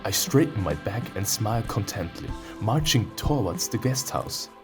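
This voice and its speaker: Male gaming host, low voice with big swings in pitch, theatrical and playful, reads story text and comments over faint music. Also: expressive, moderate pace. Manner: playful, theatrical, prone to sudden outbursts. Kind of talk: let's play commentary mixed with reading visual novel text aloud.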